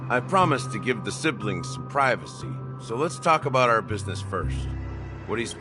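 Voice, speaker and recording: A composed video game mastermind with a gentlemanly, wry air. His voice sits fairly low and swings expressively as he tells things deliberately and theatrically. Music plays loudly underneath. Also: very animated. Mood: measured. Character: wry, composed male schemer, founder and deputy, gentlemanly storyteller.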